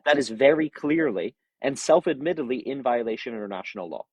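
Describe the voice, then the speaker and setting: A man's voice: medium pitch, animated, fast, breathy edge, dry recording, muffled recording. A man hosting a live-stream debate, pressing his opponent with repeated questions while apologizing for cutting in. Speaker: show host.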